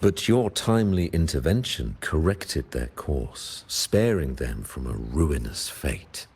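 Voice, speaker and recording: An actor voicing a stern, solemn religious ruler in a video game, with formal, ceremonial and pompous oratory. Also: male voice, very deep voice, very animated, slow, darkish tone, breathy edge, exaggerated voice. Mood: solemn, measured.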